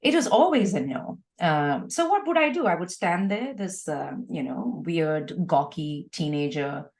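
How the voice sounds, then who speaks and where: A middle-aged woman with a low-set voice. She speaks as an interview guest, recounting her own life in an animated storytelling manner that brings conversations to life. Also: unhurried. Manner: animated storyteller acting out quoted exchanges. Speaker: podcast guest.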